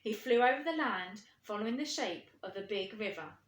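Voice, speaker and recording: A woman reading a storybook to children, expressive and putting questions to her young listeners. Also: mid-range voice, fairly quick. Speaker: primary school teacher reading aloud. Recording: echoey room.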